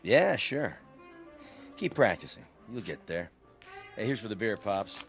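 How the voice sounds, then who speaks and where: A man's voice: fairly low pitch, sing-song, a little slow, faint music behind. An actor playing a sly, unimpressed pool player who baits his opponent in a wry way, in an audio drama. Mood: dismissive, needling. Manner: wry, grudging, baiting.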